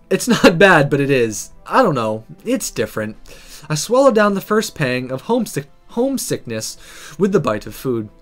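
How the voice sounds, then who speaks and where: A let's player reading a visual novel aloud in a dramatic, sometimes halting storytelling manner. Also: mid-range voice.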